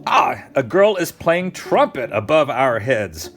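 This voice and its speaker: Man acting startled picture-book neighbour, high swooping pitch, drawn-out sing-song, exaggerated exclamations. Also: big pitch swings, fairly bright tone, soft background music. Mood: amazed.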